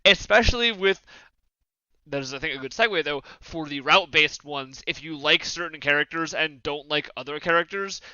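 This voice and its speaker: A man's voice: on the high side, moderate pace, pushed and bright, smooth, no echo. A man hosting a casual podcast discussion, speaking bluntly and acting out imagined remarks as he goes. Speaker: podcast panel host. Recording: close, dry, slightly dull audio.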